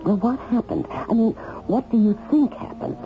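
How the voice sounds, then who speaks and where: A woman's voice: fairly high pitch, big pitch swings, moderate pace, gravelly. An anxious, loyal wife in radio drama. Her voice rises and falls widely as she urgently questions. The old recording is dull, with music in it. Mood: urgent, questioning. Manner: urgent, probing.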